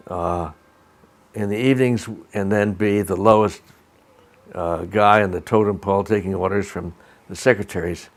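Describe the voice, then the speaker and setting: An older man from Albany with an American accent, reminiscing in an oral history interview in a measured, halting, digressive way. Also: low voice. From Albany, New York, USA. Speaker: interviewee, retired lawyer recalling career.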